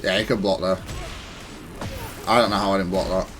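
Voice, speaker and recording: A man giving casual, analytical commentary on his own gameplay, self-critical but matter-of-fact and even-tempered. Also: low-pitched, brisk, soft background music. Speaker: gaming content creator commentating on own matches.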